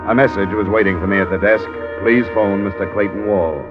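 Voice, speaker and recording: A dull vintage radio recording of an actor as a hardboiled American investigator. His voice is low, and he talks in terse, measured, probing lines like a 1950s radio detective. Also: fairly even intonation, loud music behind the voice, natural voice. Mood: clipped narration. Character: dry, persistent hardboiled male insurance investigator. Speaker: lead and narrator.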